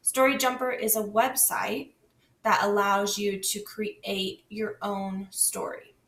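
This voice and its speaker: A woman's voice: medium pitch, little pitch movement, takes it slowly, fairly bright tone. A woman teacher leading a lesson patiently, guiding with questions. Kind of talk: scripted classroom lesson. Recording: echoey room, distant mic.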